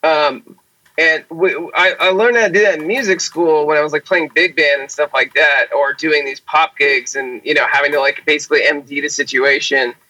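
Rambling, bright-voiced drummer host. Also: male voice, fairly high pitch, fairly even intonation, moderate pace, low-quality recording. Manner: rambling, explanatory, with fillers and laughs. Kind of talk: casual podcast chat.

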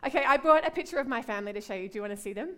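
A woman's voice: on the high side, animated, rapid-fire. A middle-aged woman from Australia with an Australian accent, preaching and praying at a women's gathering in an earnest, heartfelt way. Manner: warm, earnest, repeats "God" and "Lord" in prayer. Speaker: pastor. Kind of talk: women's conference sermon and prayer.

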